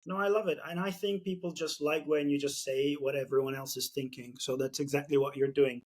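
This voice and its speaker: A man's voice: on the high side, fairly even intonation, a little fast. A man from Turkey, speaking English with a Turkish accent, hosting a casual business podcast and thinking aloud with many fillers and restarts. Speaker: podcast host.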